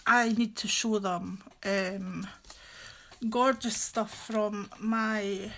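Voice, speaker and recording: A woman's voice: medium pitch, fairly even intonation, drawn-out words, gravelly, a bit bright, a little hiss or hum. A woman with a Scottish accent chatting casually and jokily as she hosts a live craft stream, rambling as she goes.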